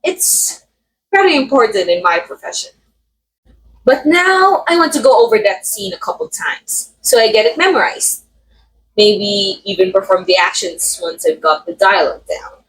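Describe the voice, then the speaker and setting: A woman's voice: medium pitch, lively intonation, moderate pace, a bit bright, a little hiss or hum, close to an everyday voice, low-quality recording. A woman playing a vain, murderous method actress in an audio roleplay, coy, theatrical and condescending, speaking straight to the listener. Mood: coy, imperious. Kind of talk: ASMR roleplay acting, addressing the listener.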